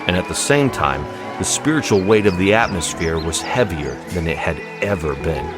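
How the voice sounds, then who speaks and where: A man's voice: low voice, lively intonation, normal speed, crowd noise. A man reading a story as a dramatic audiobook narrator. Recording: music behind the voice.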